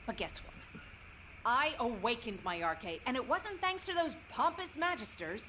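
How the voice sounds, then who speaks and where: A woman's voice: fairly high pitch, expressive, moderate pace, background noise, lightly acted. An actress playing a stubborn, grieving young woman with intense emotion in a video game drama. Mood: defiant.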